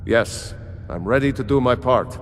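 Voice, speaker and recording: A man's voice: mid-range voice, lively intonation, a little slow. An actor playing a steadfast nobleman ruler in a fantasy game, grave and resolute. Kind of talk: fantasy video game voice acting. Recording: hall reverb, faint background noise.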